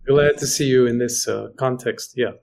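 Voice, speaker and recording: A man who grew up in Greece, speaking as an interview guest over a video call, halting and often restarting as he searches for words. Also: mid-range voice, steady tone, creaky, a little mellow. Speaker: guest, researcher. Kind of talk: casual conversation.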